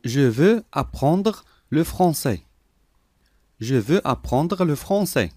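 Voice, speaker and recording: Lively, deliberate male lesson voice. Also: fairly high pitch, no echo, clean studio sound. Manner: clear, deliberate, each sentence said three times. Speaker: language lesson voice. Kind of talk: slow repeated phrase reading for learners.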